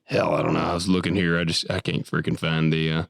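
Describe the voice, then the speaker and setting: A man and farmer co-hosting a casual podcast, sick with a cough and a deeper voice than usual, blunt and joking. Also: little pitch movement, quick pace, gravelly, dry recording, studio quality. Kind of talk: casual chat.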